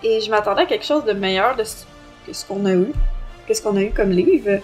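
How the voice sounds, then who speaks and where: A woman's voice: mid-range voice, fairly quick, a little mellow, soft background music. A woman with a Québécois French accent co-hosting a podcast and giving a casual book review.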